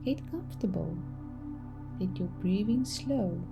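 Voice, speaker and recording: Slow, soft-spoken woman storyteller over music. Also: medium pitch, gravelly. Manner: soft, gentle, calming, unhurried delivery with pauses. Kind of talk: soothing bedtime meditation story narration. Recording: music behind the voice.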